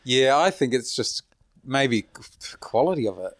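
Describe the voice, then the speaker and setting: A man's voice: medium pitch, big pitch swings, measured pace, no echo. A man who is a stand-up comedian, a guest in casual chat, making dry quips and swearing casually.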